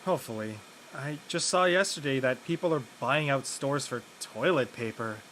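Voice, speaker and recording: A man playing an awkward, earnest teenage high school boy in an audio play, with a dry, slightly flustered manner. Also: normal speed, a bit bright, slight background noise, lightly acted, rough recording. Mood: awkward concern. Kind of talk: conversational acting in an online audio play.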